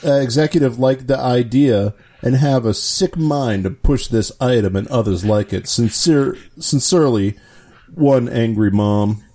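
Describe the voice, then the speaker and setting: A man's voice: medium pitch, unhurried, mellow. A man co-hosting a comedy podcast, reading a complaint comment aloud in a prim, scandalized tone. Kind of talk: mock-earnest reading of a comment.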